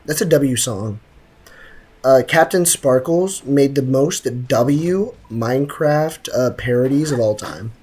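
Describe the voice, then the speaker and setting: A man's voice: medium pitch, animated, slow pace, darkish tone, husky. A young man giving casual, joking, slangy commentary on a game livestream.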